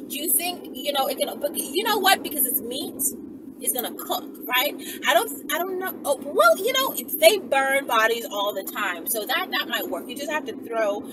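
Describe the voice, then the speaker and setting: A woman's voice: sits high, very animated, normal speed. A woman giving a casual, rambling storytime monologue as a channel host, emphatic and often checking in with the listener. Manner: emphatic, checks in with "okay" and "right". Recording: distant mic, loud vehicle-like ambient noise.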